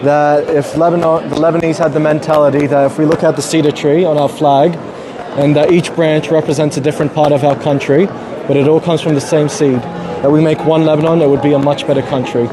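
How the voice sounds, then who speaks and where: A man interviewing guests at a gala dinner, friendly and chatty, quick to agree. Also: a little high, level tone, background noise. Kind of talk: upbeat event interview. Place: crowded hotel function room. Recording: loud crowd chatter.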